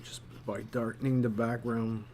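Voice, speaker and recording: A man thinking aloud casually as he gives an art demonstration, murmuring to himself in an unhurried way as he works. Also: mid-range voice, flat delivery, dark tone, a little hiss or hum. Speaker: storyboard artist giving a drawing tutorial.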